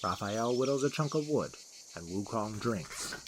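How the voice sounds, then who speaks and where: A man's voice: lowish voice, animated, normal speed. Male fantasy narrator, heavy noise behind. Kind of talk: scripted fantasy story narration.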